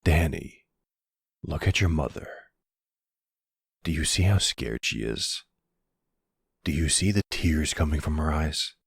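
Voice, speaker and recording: A man voicing a middle-aged silver fox mafia boss in an ASMR roleplay drama, firm but protective, with a measured, fatherly, streetwise manner. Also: no room sound, studio quality, exaggerated voice. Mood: protective, serious. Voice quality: low gravelly husky.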